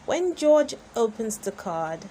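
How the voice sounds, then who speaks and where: Slow, animated woman reading a children's storybook. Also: on the high side, a little hiss or hum. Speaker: storybook reader and channel host. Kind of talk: expressive read-aloud for children.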